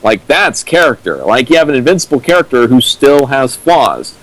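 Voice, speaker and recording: A man co-commentating in casual, opinionated discussion, talking haltingly as he explains through examples. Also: medium pitch, very animated, fairly bright tone, poor audio.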